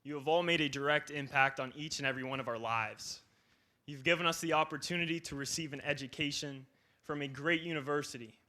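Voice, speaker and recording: A man's voice: on the high side, pushed and bright. A young man from northeastern Ohio, a student athlete giving an earnest, formal speech of thanks at a banquet. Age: young adult.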